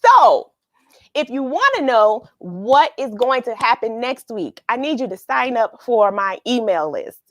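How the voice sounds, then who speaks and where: A woman with a fairly high voice hosts a read-along show for children. She chats casually and expressively to her young viewers, often musing aloud. The sound is fairly dry and close. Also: sing-song, a little slow, dry recording. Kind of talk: casual chat to young viewers after a story reading. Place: at home.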